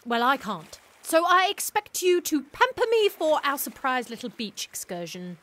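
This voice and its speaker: A woman's voice: high voice, sing-song, normal speed, a bit bright. An actress playing a spirited, pampered young lady in a period audio drama, dramatic, coaxing and playful. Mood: playful pouting.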